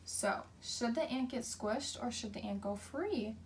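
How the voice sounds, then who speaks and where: A woman's voice: fairly high pitch, a little slow, distant mic, slight background noise. A woman teacher leading an interactive read-aloud for children, explaining simply and asking questions.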